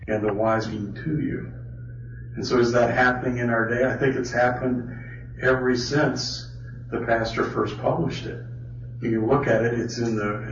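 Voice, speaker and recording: A man's voice: mid-range voice, slight background noise. A man leading a Bible study and question session, talking informally and thinking aloud with restarts. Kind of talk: informal teaching talk. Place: meeting hall with an audience. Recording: roomy, distant, dull sound.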